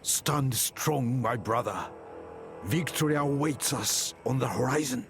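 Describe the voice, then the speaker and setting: A man's voice: mid-range voice, slow pace. A man playing a solemn, resolute samurai chronicler, giving dramatic scripted historical narration for a game cutscene over music. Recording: music behind the voice. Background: cinematic music.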